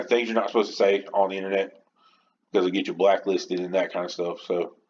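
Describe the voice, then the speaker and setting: Male podcast host, on the low side, casual and opinionated, loosely rambling; muffled home recording with slight noise. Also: steady tone, brisk, a little mellow.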